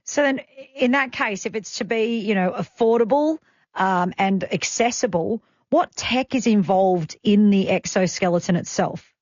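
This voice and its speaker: A woman's voice: mid-range voice, a little slow, a little mellow, dry recording. A woman with an Australian accent hosting a live radio interview in a chatty, enthusiastic manner. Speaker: radio host. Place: radio studio. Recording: slightly dull radio broadcast audio.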